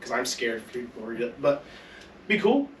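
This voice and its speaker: A man's voice: mid-range voice, big pitch swings, moderate pace. A young man co-hosting a casual gaming podcast, joking, swearing freely and tripping over his words. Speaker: podcast co-host. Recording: roomy echo, distant mic, faint noise.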